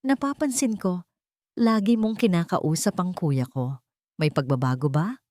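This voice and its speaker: Audio story villain: woman playing rich Filipino younger brother, falsely sweet with an edge, mellow, dry sound. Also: lowish voice, unhurried, dry recording, close to an everyday voice. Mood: sweetly probing. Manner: sweet but pointed. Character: smooth, coldly menacing younger brother, rich man.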